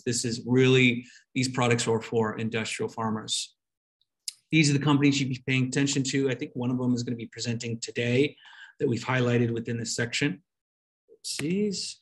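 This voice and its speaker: A man presenting a webinar over a video call, explaining slides in a conversational, loosely phrased way. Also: mid-range voice.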